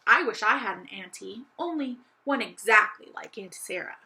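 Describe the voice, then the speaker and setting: A woman's voice: medium pitch, expressive, normal speed, pushed and bright. A woman voicing a bold, curious young girl doll in a children's story, with an eager, wide-eyed manner. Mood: excited. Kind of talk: children's audiobook character voice.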